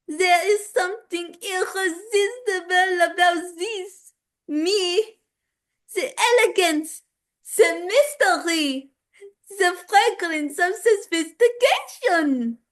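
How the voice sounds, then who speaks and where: A woman's voice: very high-pitched, slow pace, fairly bright tone, voice pushed up, heavily acted. A woman voicing a flirty, bubbly, elegant French seductress with a theatrical manner, a French accent and nasal vowels. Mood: flirty, grand. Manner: theatrical, coquettish.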